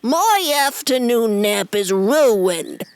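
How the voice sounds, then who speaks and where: Woman as a slow, grumpy giant fish. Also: a little high, very animated, darkish tone, no room sound, pitched below the performer's own voice, exaggerated voice. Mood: irritated. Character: grumpy giant red fish woken from a nap. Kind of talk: animated fairy tale voice acting.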